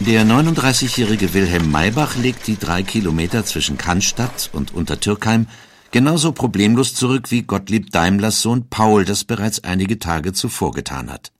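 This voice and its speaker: A man reading scripted documentary narration in a measured, storytelling manner. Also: lowish voice, animated. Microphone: studio microphone.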